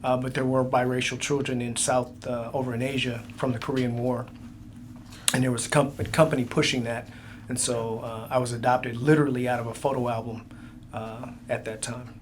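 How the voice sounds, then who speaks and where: A man in his sixties, a police chief, answering interview questions in a halting way with many fillers. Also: mid-range voice, little pitch movement, noisy background. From United States. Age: 60s.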